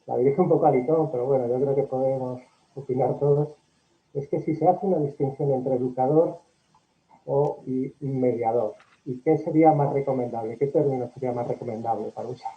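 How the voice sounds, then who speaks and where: A man moderating an online panel, passing questions politely and at a measured pace. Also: mid-range voice. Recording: tinny echoey call audio.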